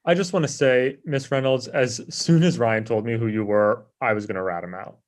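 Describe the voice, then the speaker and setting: Eager opportunist colleague played by a man, chatty and self-serving, medium-pitched voice, sitcom table read. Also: normal speed, lightly acted. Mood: ingratiating. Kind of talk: casual comedy script table read.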